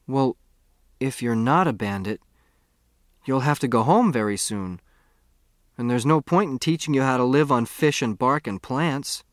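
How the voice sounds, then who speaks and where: A man's voice: mid-range voice, lively intonation, unhurried, long pauses, no echo, clean studio sound, natural voice. A man voicing an earnest, curious boy who lives in the woods, in an audiobook. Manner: earnest, inquisitive.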